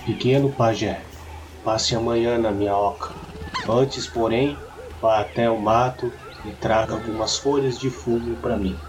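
A man with a Brazilian accent voicing a solemn, wise village shaman in an audio story, speaking in a measured, grave, instructive way. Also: medium pitch, fairly even intonation, noisy background, natural voice. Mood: calm, inviting. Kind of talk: scripted audio story acting.

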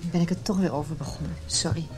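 A woman's voice: deep voice, fairly even intonation, fast talker, a little mellow, soft background music, close to an everyday voice. An actress playing an earnest, frank married woman in a radio play. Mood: reproachful, earnest. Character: sincere, earnest married woman named José.

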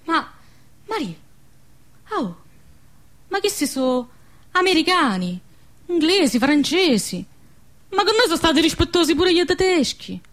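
A high-pitched excitable village girl from a wartime radio play. She has a central-southern Italian accent, and her delivery is emotional and exclamatory, full of hope. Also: unhurried, long pauses, exaggerated voice. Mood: eager. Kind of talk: dialect radio drama acting.

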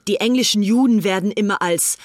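A woman's voice: medium pitch, brisk, dry recording, studio quality, close to an everyday voice. A woman playing a fanatical, haughty young upper-class English fascist in a dramatized reading of letters. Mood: zealous.

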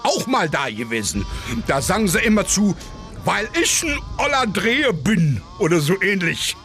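Pompous, high-pitched Prussian colonel. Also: male voice, big pitch swings, unhurried, fairly bright tone, soft background music, full character voice. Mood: gushing, nostalgic. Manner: pompous, exclamatory. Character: blustering, jovial, condescending Prussian colonel, middle-aged man. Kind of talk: satirical radio play acting.

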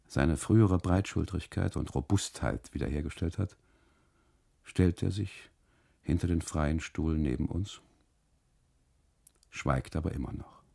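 A man narrating a literary radio monologue in deliberate phrases, with dramatic pauses and emphasis. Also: very deep voice, gravelly, mellow. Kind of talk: literary first-person narration, 1980s German radio play.

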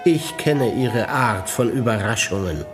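An actor playing a bored, sardonic aristocratic prince in a radio play. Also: male voice, mid-range voice, lively intonation, a little slow, over music, close to an everyday voice. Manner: dry, sardonic, aloof.

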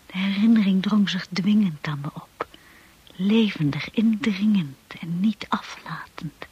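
An actress playing a wistful, shy young woman narrating her memories in a radio drama, hushed, breathy and dreamy. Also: lowish voice, muffled recording, heavily acted.

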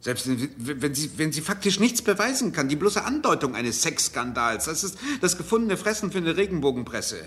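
A man's voice: fairly high pitch, very animated, normal speed, hardly any pauses, a bit bright. A man playing a sardonic, irritable doctor caught in an affair, in a German radio crime drama, stammering when rattled. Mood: agitated, cynical. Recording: roomy vintage radio drama, background hiss.

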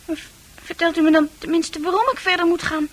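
A woman's voice: extremely high pitch, fairly even intonation, fast talker. A woman playing a bewildered young psychiatric patient in a radio drama, anguished and stammering as she repeats herself. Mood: anguished, pleading.

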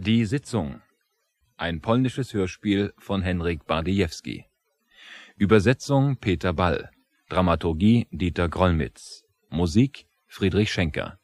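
A man announcing radio credits formally, in a measured studio delivery. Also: low voice, dry recording. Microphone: close studio microphone.

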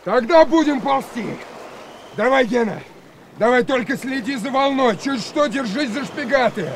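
An actor playing a brave, earnest young submarine lieutenant who narrates and speaks in a radio drama. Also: male voice, extremely high pitch, expressive, normal speed, noisy background. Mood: urgent, shouting.

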